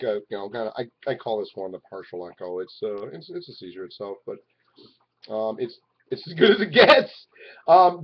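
A man in his forties talking to a webcam in his living room, rambling and swinging from loud outbursts to low mutters. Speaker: vlogger. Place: living room at home, indoors. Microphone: webcam at arm's length. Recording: dull lo-fi webcam audio.

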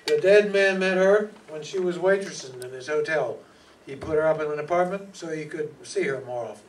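A wry private eye from a noir radio play, acted by an older man. The voice sits somewhat high for a man, narrating and questioning in hardboiled style. It is picked up from a distance with faint noise. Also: fairly quick, lightly acted. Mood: confident. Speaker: amateur radio play actor. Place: small hall stage, indoors. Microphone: stand microphone, recorded by far camera. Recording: noisy, distant hall PA recording.

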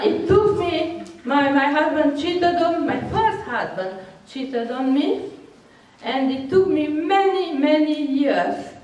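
An older woman with a Hungarian accent answers audience questions in a frank, matter-of-fact way, laughing now and then. Her voice is high and unhurried. She sounds far off in a very echoey hall. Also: a little mellow, highs cut off. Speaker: workshop speaker. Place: large hall with seated audience. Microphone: handheld microphone, recorded from far off.

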